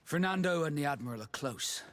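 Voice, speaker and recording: An actor playing a calm, loyal seafaring companion in a fantasy video game, speaking plainly and matter-of-factly. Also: male voice, fairly high pitch, a little fast.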